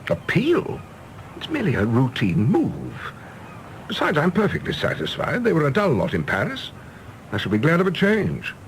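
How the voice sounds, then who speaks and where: An actor playing a guarded, impatient grown son and diplomat in a radio drama, clipped and dryly understated, with an English Received Pronunciation accent. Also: male voice, on the high side, wildly swooping pitch, normal speed, slight background noise, low-quality recording. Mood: dry, defensive. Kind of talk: British radio drama acting.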